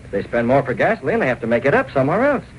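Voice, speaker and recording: Actor as a brisk, authoritative newspaper boss. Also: male voice, mid-range voice, big pitch swings, highs cut off, natural voice. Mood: earnest, instructive. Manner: authoritative, crisp, explanatory. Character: firm, principled male newspaper publisher, the boss. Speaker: actor in a radio drama. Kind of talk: 1930s-40s American radio drama acting. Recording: noisy old radio recording.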